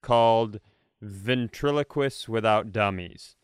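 A man hosting a comedy podcast, telling stories casually with animated exaggeration. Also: lowish voice, slow, smooth, no echo, studio quality. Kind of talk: casual comic storytelling.

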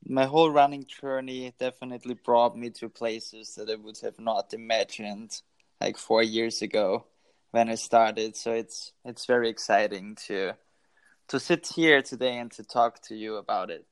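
A man, a podcast guest answering in a calm, measured way. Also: medium pitch, clean tone. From Austria.

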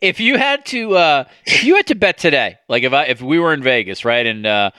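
A man's voice: medium pitch, big pitch swings, fairly quick, sharp tone, clean tone, no echo, studio quality. A man hosting a casual sports podcast, rambling with many fillers. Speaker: podcast host.

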